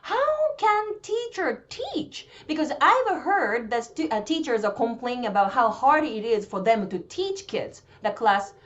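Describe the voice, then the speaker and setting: A chatty woman in her thirties speaks with a Japanese accent. Her fairly high voice leaps all over, quick and animated. The sound is a little dull and lo-fi. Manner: chatty, animated, switches between languages, drawn-out explaining. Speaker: vlog host. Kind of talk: casual bilingual vlog talk.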